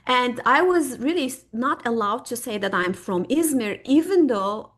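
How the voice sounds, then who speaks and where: A woman's voice: on the high side, animated, normal speed, a bit bright. A middle-aged woman from Turkey, a native Turkish speaker, speaking as a guest historian in a podcast interview, thoughtful and searching for words with frequent fillers.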